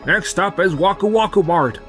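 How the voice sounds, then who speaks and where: A man's voice: high voice, moderate pace, fairly bright tone, faint music behind. A man voicing an anxious, hesitant young salesman in a manga dub. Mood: worried.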